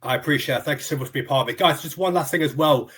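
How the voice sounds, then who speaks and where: A man's voice: fairly high pitch, racing, a bit bright, breathy edge. A man from the UK hosting an interview over a video call, chatty and friendly, calling his guest "mate". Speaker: interview host.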